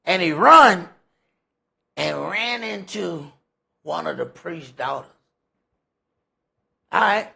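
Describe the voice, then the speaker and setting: A man's voice: high voice, animated, takes it slowly, creaky, long pauses, bright, forceful tone, husky. A man teaching scripture in an informal sermon, conversational and emphatic, repeating phrases for effect. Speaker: priest teaching a Bible study.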